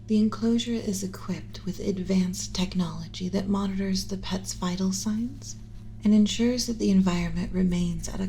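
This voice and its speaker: A woman plays a tender alien mother tending her human pet in an ASMR roleplay. Her voice is soft, dark and relaxed, often slipping into a breathy whisper. She speaks deliberately, clinical yet comforting, close to the mic with a faint bed of background noise. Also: medium pitch, close to an everyday voice, poor audio.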